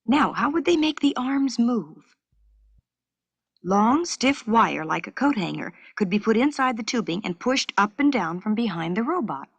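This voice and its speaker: Expressive woman narrator, animated and slightly husky. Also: on the high side, unhurried, no echo. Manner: expressive storytelling. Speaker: narrator of a children's educational filmstrip. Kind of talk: scripted children's story narration. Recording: dry 1970s educational cassette recording.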